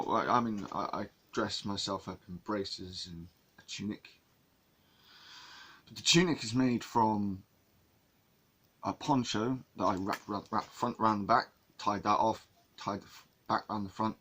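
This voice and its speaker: A man's voice: fairly low pitch, unhurried, fairly bright tone, slight background noise. A man from England talking in a casual monologue to camera, rambling with many restarts and repeated words. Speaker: vlogger.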